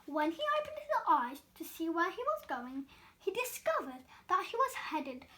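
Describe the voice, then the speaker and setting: A woman reading a children's storybook aloud as an expressive storyteller. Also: very high voice, moderate pace.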